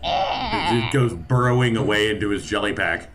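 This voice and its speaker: Easygoing low-voiced man, tabletop player. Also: very animated, moderate pace, fairly bright tone, slight background noise. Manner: easygoing, laughs often, hesitant with fillers. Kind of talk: casual tabletop game chat.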